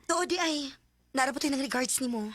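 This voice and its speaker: An actress playing a teasing, excitable young confidante in a radio drama, with a Cebuano accent. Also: female voice, high voice, a little fast, dry recording. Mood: excited. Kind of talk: Bisaya radio drama acting.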